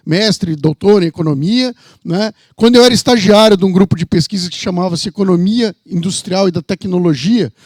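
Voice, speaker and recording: A man in his fifties with a São Paulo accent presents for a university. His voice is high for a man and closely recorded with little room sound. He explains informally, wandering into asides and rhetorical questions. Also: dry recording, clean studio sound. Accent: São Paulo state Brazilian. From São Paulo, Brazil. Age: 50s. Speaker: economist and university representative.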